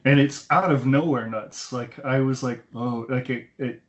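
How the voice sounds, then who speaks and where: A man's voice: mid-range voice, fairly even intonation, normal speed. A man co-hosting a casual film review podcast, telling rambling anecdotes over an internet call. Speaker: podcast co-host. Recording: dull lo-fi internet call audio.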